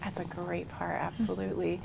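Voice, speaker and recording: Lady doing a chatty tv interview, gravelly fry in her voice, some noise in the background. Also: on the low side, level tone, fairly quick. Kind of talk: conversational interview.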